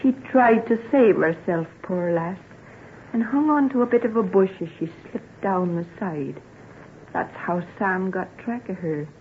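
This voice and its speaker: A woman's voice: mid-range voice, expressive, a little slow, lightly acted. An actress playing a plain-spoken, sorrowful woman in an old-time radio drama. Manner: earnest rustic dialect storytelling. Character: plain-spoken sorrowful country woman, devoted to her family. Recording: tinny, noisy old radio recording.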